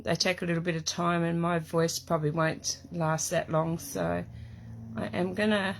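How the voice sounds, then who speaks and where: A middle-aged woman from Tasmania with an Australian accent, hosting a casual live craft stream from her home craft room, chatty and greeting viewers by name, her voice hoarse and croaky from a cough. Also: on the low side.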